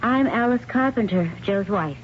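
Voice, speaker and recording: A woman's voice: medium pitch, expressive, moderate pace, lightly acted. A woman playing a determined, practical and protective wife in a radio drama, firm and coaxing. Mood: polite, cool. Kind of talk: 1970s radio drama acting. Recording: muffled, slightly noisy 1970s radio broadcast.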